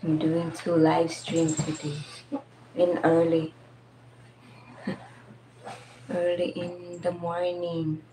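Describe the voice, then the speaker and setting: A woman's voice: on the low side, completely flat delivery, drawn-out words, pauses often, mellow, soft background music, low-quality recording. A Filipina woman with a Filipino accent hosting a casual live stream, chatting with viewers, greeting them by name and laughing often. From Philippines.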